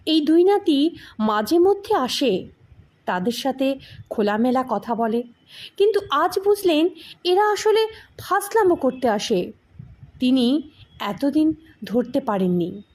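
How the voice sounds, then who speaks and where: High-pitched woman narrating a story, lively and unhurried. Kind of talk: expressive scripted story narration with acted dialogue.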